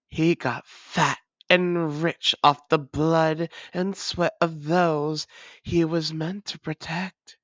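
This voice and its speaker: A woman playing a proud, menacing vampire ruler in a dramatic audio roleplay, taunting and imperious in a deliberate delivery. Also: extremely low pitch, no room sound, heavily acted.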